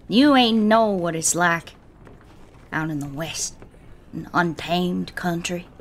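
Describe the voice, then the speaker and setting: A woman commentates a game stream in a playful, folksy Southern US accent. Her voice sits a little low, and faint game sound runs behind it. She jokes along in a homespun way. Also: a little hiss or hum. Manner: folksy drawl, joking, playful. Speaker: livestreamer.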